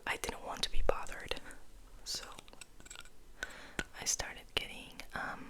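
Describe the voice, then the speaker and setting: Whispering woman, slow ASMR ramble. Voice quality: breathy unvoiced whisper. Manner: intimate, slow, softly rambling. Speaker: ASMR video host. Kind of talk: ASMR, casual rambling to the viewer.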